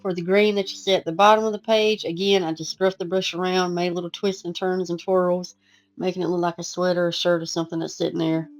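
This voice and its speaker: A woman who is losing her voice, hoarse and chatty, talking through a casual painting lesson over soft guitar music. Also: lowish voice, poor audio. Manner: casual, chatty, self-deprecating. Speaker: art teacher. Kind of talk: relaxed painting tutorial voice-over.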